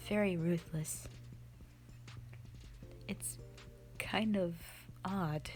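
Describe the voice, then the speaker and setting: A stern mother who commands a band of warriors, played by a woman in a roleplay audio drama. Her voice sits a little low and moves very slowly, with deliberate, weighty pauses. Music plays behind her as she speaks directly to the listener. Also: steady tone, a little mellow. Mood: grave, stern. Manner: stern, deliberate, halting delivery with sighs.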